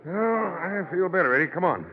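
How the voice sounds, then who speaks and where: Genial, confident district attorney. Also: male voice, sits high, lively intonation, fairly quick, noisy background. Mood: easygoing, brisk. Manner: jovial, chuckling, easy authority. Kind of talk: 1940s radio acting. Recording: slightly dull vintage radio recording.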